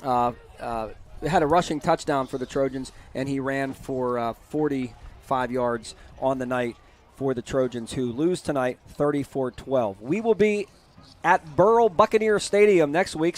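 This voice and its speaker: A man calling a high school football broadcast from the press box, chatty and energetic, and losing his voice. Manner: chatty, energetic, reads scores quickly. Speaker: play-by-play broadcaster. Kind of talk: postgame sports commentary.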